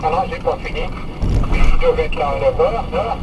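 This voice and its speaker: High-pitched man in his late sixties, noisy radio. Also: a little fast. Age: late 60s. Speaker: repairer, radio contact. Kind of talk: casual radio chat.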